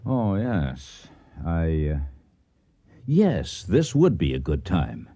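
A middle-aged expedition leader in a radio play. The voice is low and mellow, with lively movement in pitch, recorded dry. He is measured and civil, a thoughtful doubter. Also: no echo, heavily acted. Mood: concerned, civil. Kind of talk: radio drama acting and narration.

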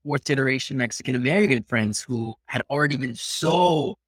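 A Peruvian-born man in his thirties, speaking as an interview guest. He talks in a reflective, earnest way at a middling pitch and now and then drops into Spanish. The recording is dry and close. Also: brisk, no room sound. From Peru. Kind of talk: casual interview conversation.

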